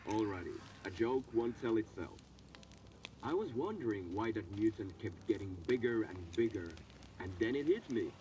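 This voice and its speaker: Man voicing wry game joker, lowish pitch, lively intonation, off-mic. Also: moderate pace, distant mic, a little hiss or hum, natural voice, rough recording. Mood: joking. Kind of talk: video game dialogue.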